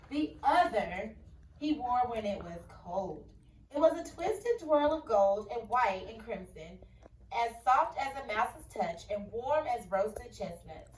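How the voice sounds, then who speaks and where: Teacher reading a picture book aloud. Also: female voice, a little high, recorded from a distance, slight background noise. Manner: expressive storybook reading with pauses for questions. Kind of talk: classroom read-aloud. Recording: echoey room, voice from off-mic.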